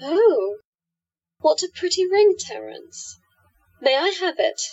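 An actress playing a seductive hypnotist who coaxes and commands in a radio play, recorded in dull lo-fi sound. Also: female voice, extremely high pitch, sing-song, slow, gravelly, a little mellow, exaggerated voice. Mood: seductive coaxing.

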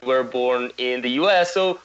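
A man from Iran, a podcast guest talking in a casual discussion, animated, with frequent restarts and fillers. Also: medium pitch, normal speed, clear, resonant tone. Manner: animated, many restarts and fillers, laughs mid-sentence. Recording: dull, slightly lo-fi remote audio.

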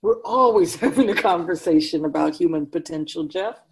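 A woman's voice: on the low side, moderate pace, a little hiss or hum, natural voice. A woman playing a wise otherworldly keeper of souls in a radio drama, teaching humanity in an emphatic, oracular manner with rhetorical questions. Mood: amused, instructive. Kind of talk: radio drama dialogue, lecturing.

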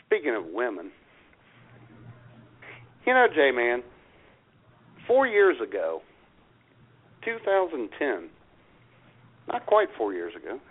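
A male radio co-host in casual comic banter, drawing out his words with pauses in mock nostalgia. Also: medium pitch. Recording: narrow, phone-like radio sound.

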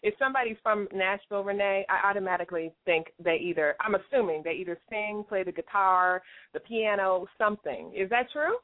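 A woman hosting a radio show, bubbly and quick to laugh, asking long questions. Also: mid-range voice. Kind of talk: radio interview. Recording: tinny phone-like line.

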